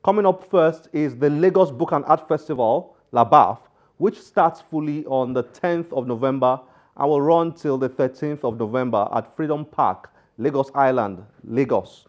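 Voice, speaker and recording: A man's voice: medium pitch, normal speed, darkish tone, highs cut off. A man presenting a book programme with scripted television narration.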